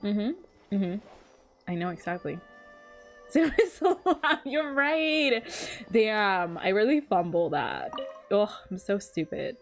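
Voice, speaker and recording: A woman's voice: mid-range voice, big pitch swings, slow. A young woman streaming a game, giving casual, playful, slangy reactions. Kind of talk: casual live reaction commentary. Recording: game music behind the voice.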